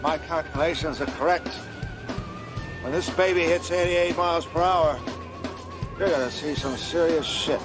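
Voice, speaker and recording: Man in a clip over loud music. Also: on the high side, normal speed, pauses often. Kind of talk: played movie and TV clips in a podcast intro. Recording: clips under loud intro music.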